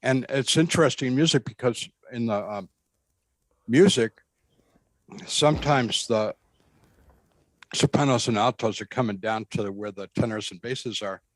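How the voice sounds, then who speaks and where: An earnest man with a bass voice telling his story to an interviewer. He is emphatic and repeats phrases as he goes. The recording is dry, with no room sound. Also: unhurried, long pauses, dry recording, poor audio. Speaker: guest describing a spiritual experience. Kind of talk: conversational storytelling.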